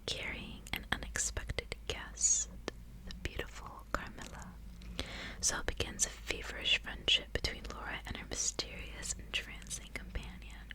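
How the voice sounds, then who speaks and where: Female ASMR host, fully whispered delivery, intimate and casual, lingers on repeated words. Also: poor audio. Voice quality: breathy unvoiced whisper. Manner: repeats words and short phrases as triggers. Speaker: ASMR video creator and reader. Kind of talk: ASMR, intimate casual chat and reading aloud.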